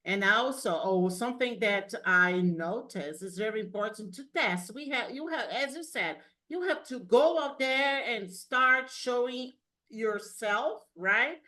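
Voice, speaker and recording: A woman's voice: a little high, animated, a little slow, bright, forceful tone. A woman whose first language is not English, hosting a spiritual coaching interview in an encouraging, reflective way. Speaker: host.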